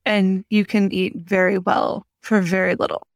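A woman's voice: mid-range voice, little pitch movement, moderate pace, creaky, no room sound, clean studio sound. A woman co-hosting a podcast, giving practical tips in articulate, emphatic casual chat. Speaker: podcast co-host.

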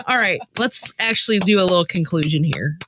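A woman's voice: medium pitch, lively intonation, normal speed, noisy background. A woman co-hosting a podcast in playful casual chat, with a joking, giggly manner. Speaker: podcast host.

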